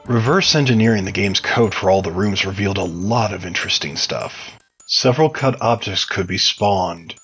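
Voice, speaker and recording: A man giving measured, articulate, explanatory answers as an interview guest, sounding prepared and read out. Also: lowish voice. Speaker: interview guest, game modder.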